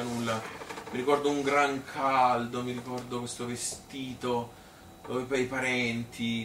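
A man with a Tuscan accent playing a chatty working-class woman who reminisces in a rambling, halting stage monologue. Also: mid-range voice, close to an everyday voice. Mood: wistful, rambling. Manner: rambling, colloquial, halting with repetitions. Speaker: solo stage storyteller. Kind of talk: spontaneous-sounding theatrical monologue. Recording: roomy, distant, noisy live recording.

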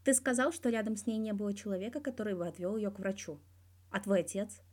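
A woman's voice: medium pitch, fairly even intonation, fast, a little mellow, close to an everyday voice. A woman voicing a persistent male journalist in an audiobook, putting direct, pressing questions. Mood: probing. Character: persistent, probing adult male journalist interviewer.

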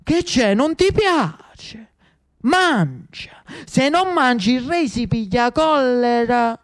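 A man's voice: extremely high pitch, very animated, takes it slowly, clear, resonant tone, no room sound, studio quality, voice pushed up, heavily acted. A man voicing a devilish, mockingly menacing tempter with a Neapolitan accent in a theatrical radio monologue. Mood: coaxing menace.

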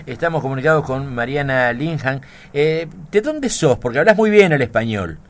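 A man's voice: medium pitch, expressive, normal speed. A man with a Latin American Spanish accent interviewing a guest in a polite, conversational and somewhat hesitant way. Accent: Latin American Spanish, voseo. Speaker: radio interviewer.

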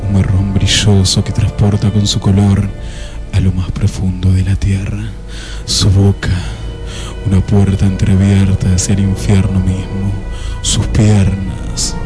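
Melodramatic detective-parody storyteller, played by a man, fairly low-pitched, savoring each phrase over background music. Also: natural voice. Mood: breathless lust. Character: lurid, solemn male narrator of noir parody. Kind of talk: scripted dramatic narration.

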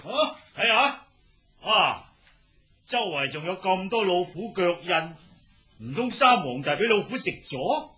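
A hearty eldest prince in a radio drama. His voice is high for a man, bright and forceful, with a theatrical, exclaiming delivery. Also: big pitch swings, unhurried, full character voice. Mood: worried, shocked. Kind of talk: Cantonese radio drama acting.

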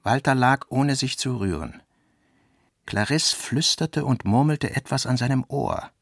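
A man's voice: medium pitch, measured pace, creaky, no room sound. Male literary narrator, dry close sound. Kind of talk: scripted literary narration with quoted dialogue.

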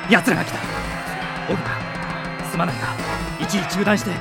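A man's voice: sits high, expressive, a little fast. A male actor playing a noble young prince in a fantasy audio drama, earnest and resolute, speaking formally and with passion. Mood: earnest resolve. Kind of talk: Japanese fantasy radio drama acting. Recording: dramatic music behind the voice.

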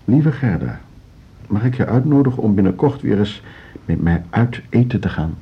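An actor playing a courtly, sentimental older music teacher in a radio drama, husky and savoring his words. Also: on the low side, expressive, normal speed, full character voice. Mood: courtly, tender. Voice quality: husky, raspy. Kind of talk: Dutch radio drama acting. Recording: dull radio recording with piano music.

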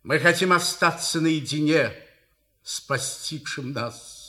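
A man's voice: on the high side, takes it slowly, sharp tone. A man acting a stern, pompous family friend who speaks formally and commandingly in a radio play.

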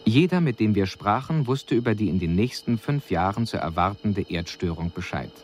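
Man playing very deep-voiced detached alien observer. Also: expressive, a little mellow, slight background noise, natural voice. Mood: sober, analytical. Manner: measured, analytical, formal. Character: male alien emissary, detached observer soberly reporting on humans. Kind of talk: scripted radio drama report.

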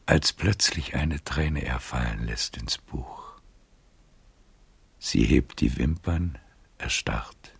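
An aged, dreamy seducer in an intimate radio play. His voice is extremely low and very husky, murmured close and dry. He lingers tenderly over his phrases, halting as he savours the story. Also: no echo, full character voice. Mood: hushed, spellbound. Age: older. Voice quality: husky, gravelly, breathy murmur. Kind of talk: intimate radio drama acting.

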